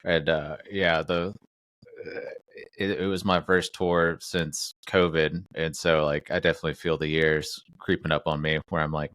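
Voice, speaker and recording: A man's voice: very deep voice, flat delivery, moderate pace, fairly bright tone, no echo. A man hosting a podcast, chatting casually with many fillers and restarts. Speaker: podcast host. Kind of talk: casual interview chat.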